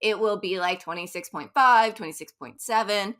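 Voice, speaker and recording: A woman coach chatting in an upbeat, easygoing way. Her voice is bright and forward, with quick asides. She sounds like she is talking through her race experiences with a friend. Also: medium pitch. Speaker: running coach. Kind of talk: casual interview chat.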